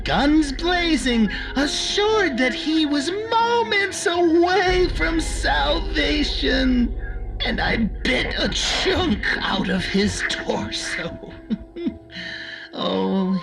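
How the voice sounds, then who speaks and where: A woman's voice: on the high side, full character voice, rough recording. A woman voicing a gleeful, sadistic man-eating cartoon cat who toys with prey in a playful, taunting theatrical monologue. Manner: playful, taunting, drawn-out menace with sudden swoops. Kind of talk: theatrical villain monologue, character voice lines.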